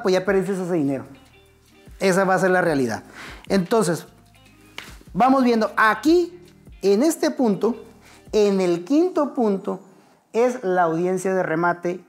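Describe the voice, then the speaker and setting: A middle-aged man with a Mexican accent teaching real estate at a whiteboard, talking straight to the viewer in a conversational, step-by-step way, standing and gesturing in a studio. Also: high-pitched. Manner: conversational, addresses viewer directly, explains step by step. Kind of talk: whiteboard explainer lesson. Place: indoor studio set.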